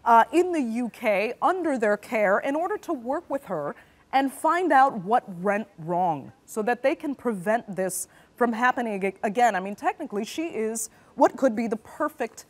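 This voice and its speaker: A woman in her thirties hosting a TV news discussion in a studio, putting probing, measured questions to her guests. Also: a little high, animated. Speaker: news show host. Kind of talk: TV news interview.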